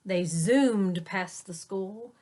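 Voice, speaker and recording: Female teacher for a children's read-aloud, medium pitch, explaining simply as she goes. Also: very slow, warm tone. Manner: simple explanatory asides to young listeners.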